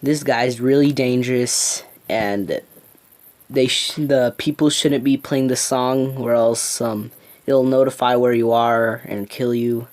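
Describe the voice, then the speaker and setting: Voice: medium pitch, steady tone, darkish tone, lightly acted. A person playing a hesitant, worried local morning news anchor, stumbling informally through an improvised breaking news report. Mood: hesitant, concerned. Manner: halting, stumbling, informal delivery.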